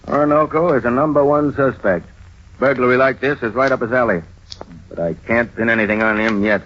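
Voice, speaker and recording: A man's voice: mid-range voice, fairly quick, slight background noise, close to an everyday voice. A man playing a frustrated, hard-boiled police captain with punchy delivery in an old radio drama. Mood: frustrated, earnest. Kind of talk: 1930s radio drama acting. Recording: dull old radio recording.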